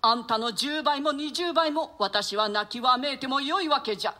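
A woman's voice: high-pitched, moderate pace, bright, forceful tone. A woman acting a stern, grieving old duchess and grandmother in a classic stage play, speaking in a theatrical, archaic manner. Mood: grieving lament. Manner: lamenting, theatrical, admonishing.

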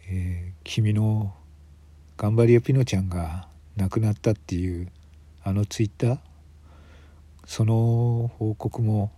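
A man playing a grave, weary police detective in a radio drama, speaking in a measured, hesitant way with sighs. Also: fairly low pitch, dark tone, breathy edge, dry recording.

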